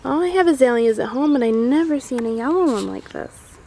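A woman's voice: high-pitched, expressive, a little fast. A woman chatting casually as she walks a garden with her camera, hedging on the names of the plants. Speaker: guide filming a garden tour. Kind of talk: casual walking garden tour. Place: outdoors in a library garden. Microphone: handheld camera mic. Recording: loud outdoor noise on a camera mic.

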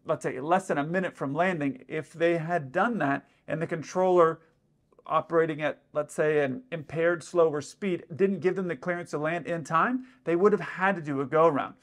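A man's voice: a little high, normal speed. A man in his thirties, an airline pilot, explaining radio exchanges to camera in a casual, step-by-step way, recorded in a dim indoor room. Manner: explanatory, with frequent "let's say" asides.

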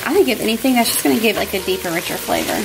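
A woman's voice: medium pitch, expressive, racing, creaky, poor audio. A woman in her thirties hosting a home cooking vlog in a chatty, informal way, narrating casually as she goes. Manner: chatty, informal, laughs easily. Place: home kitchen.

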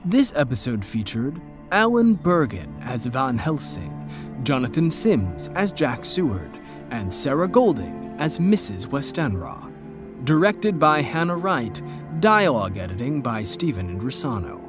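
A man reads out scripted credits as an announcer. His pitch moves around in a lively way at a medium height, with music clearly behind him. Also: measured pace.

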